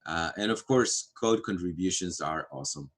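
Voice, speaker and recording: A man from Greece, a Greek native speaker, talking casually on a video-call livestream, pausing often with fillers and restarts. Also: deep voice, fairly bright tone. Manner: halting, many fillers and restarts. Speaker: framework core team member.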